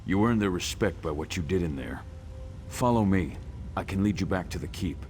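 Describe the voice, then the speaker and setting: Deep-voiced, firm warrior guide. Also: male voice, expressive, normal speed, a little mellow, soft background music. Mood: firm, reassuring. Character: sturdy male warrior guide, respectful and businesslike. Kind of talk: fantasy game dialogue.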